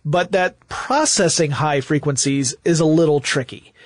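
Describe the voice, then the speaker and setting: A man's voice: a little high, normal speed, dry recording. A man hosting a technology podcast, explaining technical detail conversationally and with enthusiasm into a close microphone. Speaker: podcast host. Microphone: close studio microphone.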